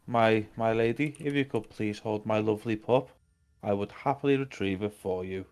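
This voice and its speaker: A man's voice: lowish voice, moderate pace, darkish tone, smooth, natural voice. Man playing a gallant, courteous adventurer. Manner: gallant, polite.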